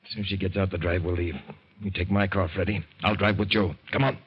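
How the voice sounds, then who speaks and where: An actor playing a tough, bossy crime boss in old-time radio drama, giving gruff, clipped, slangy orders. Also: male voice, deep voice, steady tone, quick pace, highs cut off. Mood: scheming, bossy.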